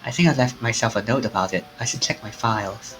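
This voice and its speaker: A man streaming a game, reading its story text aloud in an animated way. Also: medium pitch, fast talker, over music, poor audio. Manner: animated, acts out the lines.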